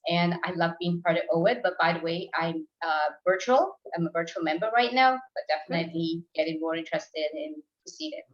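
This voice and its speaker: Lower-voiced woman on a dull, roomy call. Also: brisk, few pauses. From New York. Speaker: business owner. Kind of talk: introducing herself in a meeting.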